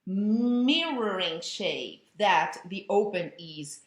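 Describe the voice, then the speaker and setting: A woman's voice: mid-range voice, very slow. A woman, an opera coach explaining vocal technique with vivid imagery. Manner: expressive, imagery-rich teaching. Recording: roomy echo.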